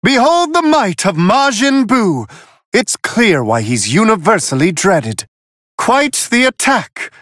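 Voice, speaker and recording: Serene angel attendant, high airy male voice, courtly, wildly melodic, leisurely pace, theatrical game commentary. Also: very animated, slow, a bit bright, dry recording, studio quality, exaggerated voice. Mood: impressed, courtly. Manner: polite, flowery, genteel phrasing. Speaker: fight commentator.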